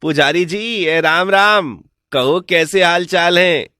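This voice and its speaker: A man voicing a sly, smooth-talking village swindler in an animated folk tale, persuasive, boastful and falsely respectful. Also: fairly high pitch, slow, no echo, clean studio sound.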